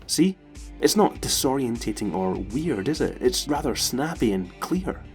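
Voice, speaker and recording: A man's voice: medium pitch, sing-song, unhurried, darkish tone, soft background music. A man delivering scripted narration as the presenter of a video essay.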